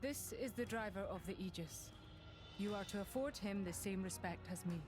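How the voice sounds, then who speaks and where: An actress playing a stern, dutiful imperial inquisitor with a formal, commanding, composed manner in a game cutscene. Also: female voice, mid-range voice, moderate pace, music in the background, natural voice. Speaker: voice actor. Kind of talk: video game cutscene acting.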